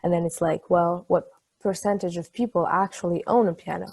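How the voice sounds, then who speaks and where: A woman's voice: fairly low pitch, fairly even intonation, fairly quick, gravelly, breathy edge. A woman acting an affectionate, brainy, playful partner in a casual, conversational table-read style. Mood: explaining. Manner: casual, conversational, gently teasing.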